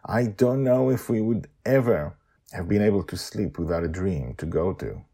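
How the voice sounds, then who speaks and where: A man's voice: fairly low pitch, lively intonation, normal speed, warm tone, husky. A man playing a guilt-ridden, protective father in an audio adventure story. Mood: awkward, relieved.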